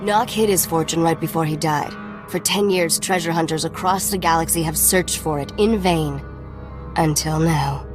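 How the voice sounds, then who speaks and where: Woman voicing shrewd young game smuggler, lowish voice, cool, self-assured, music behind. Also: normal speed, natural voice. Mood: persuasive. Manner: cool, wry. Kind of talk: video game voice acting.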